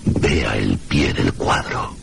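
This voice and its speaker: An actor as a very deep, gravelly scholar. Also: male voice, expressive, takes it slowly, background noise, heavily acted. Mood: courteous, intrigued. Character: learned, courteous man obsessed with old paintings and legends. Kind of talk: radio drama acting.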